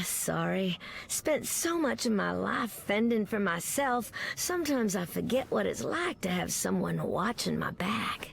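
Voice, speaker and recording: An actress playing a sassy, flirty superheroine with a Southern American accent and folksy pet names, in a video game. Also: female voice, mid-range voice, vocal fry. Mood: sincere, warm.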